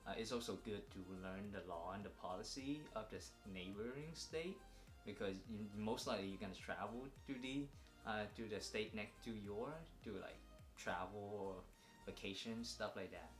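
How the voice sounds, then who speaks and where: A young man from Vietnam with a Vietnamese accent gives casual tips in a somewhat low voice. He talks haltingly, full of fillers and restarts. The room is echoey, with faint music underneath. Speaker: vlog co-host. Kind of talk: casual advice vlog.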